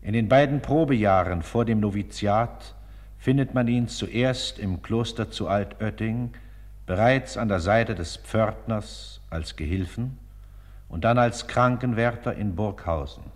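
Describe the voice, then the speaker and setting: Lowish male narrator with long reverb. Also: unhurried. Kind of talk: solemn scripted biographical narration. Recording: long reverberant radio play recording.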